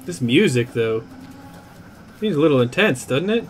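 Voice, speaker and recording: A man's voice: fairly high pitch, very animated, normal speed, slight background noise. A man commentating his video game playthrough in a playful, joking way, humming along and teasing the characters. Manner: playful, hums and jokes at the screen. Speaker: let's play gamer. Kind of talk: let's play commentary.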